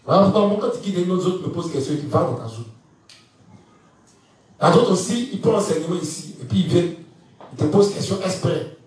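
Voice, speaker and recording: Man in his fifties teaching a congregation, high voice, lively and laughing, very echoey room, distant mic. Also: fast, pauses often. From Ivory Coast. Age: 50s. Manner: animated, laughing, asks the audience if they follow. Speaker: church teacher. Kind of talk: evening church teaching. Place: church hall.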